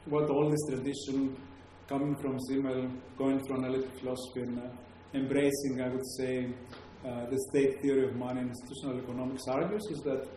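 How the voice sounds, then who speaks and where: A man's voice: medium pitch, level tone, fairly quick, few pauses, warm tone. Hesitant Greek-accented man in an echoey hall. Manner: hesitant, many fillers and restarts. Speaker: lecturer. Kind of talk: academic talk to a live audience. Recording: very echoey room, faint background noise.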